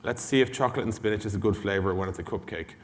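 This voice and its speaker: A man's voice: fairly low pitch, rapid-fire. Man presenting in a big reverberant hall. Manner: casual, blunt, mildly sweary, uses acted-out dialogue. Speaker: conference speaker, tech company executive. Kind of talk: conference talk, informal product presentation. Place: large indoor conference hall. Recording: long hall reverb from conference stage.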